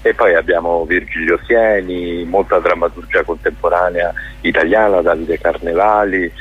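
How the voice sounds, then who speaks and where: A man speaking as a guest in a radio interview, talking in a halting way with many fillers and restarts. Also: sits low, steady tone, slightly rough, faint music behind. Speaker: festival director guest. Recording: dull lo-fi radio sound.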